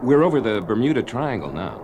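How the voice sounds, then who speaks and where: An actor playing a calm, fatherly pilot in a 1970s radio drama. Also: medium pitch, brisk, highs cut off, background noise. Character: steady pilot father, middle-aged man.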